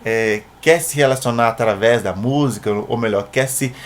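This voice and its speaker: A man's voice: mid-range voice, normal speed. A man with a Brazilian Portuguese accent narrating an informal singing tutorial in a conversational way, often checking in with short tag questions.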